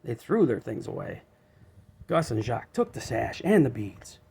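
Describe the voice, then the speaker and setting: A man's voice: medium pitch, very animated, moderate pace, vocal fry, dark tone, breathy edge. A man narrating a children's storybook aloud.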